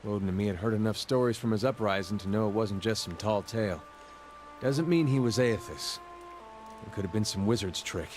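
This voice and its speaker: A man's voice: on the low side, brisk, over music, lightly acted. An actor voicing a man in a fantasy game who presses bluntly for news of his lost brother. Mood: doubtful. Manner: blunt, insistent questioning. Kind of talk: voiced game dialogue.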